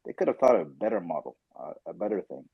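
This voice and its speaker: A man hosting a casual podcast discussion, telling stories animatedly and quickly mimicking others in asides. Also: deep voice, big pitch swings, creaky, breathy edge, dry recording, phone-quality sound. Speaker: podcast host.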